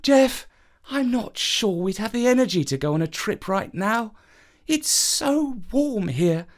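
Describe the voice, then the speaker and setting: Man putting on a high-voiced, weary storybook mum. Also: lively intonation, a little slow. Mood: weary protesting. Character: weary, reluctant mother in a children's story.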